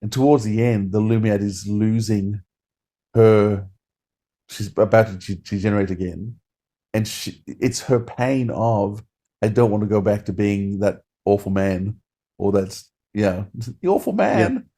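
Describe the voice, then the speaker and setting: A man's voice: lowish voice, measured pace, mellow. A man co-hosting a podcast, giving a casual review in an enthusiastic, rambling way. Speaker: podcast co-host.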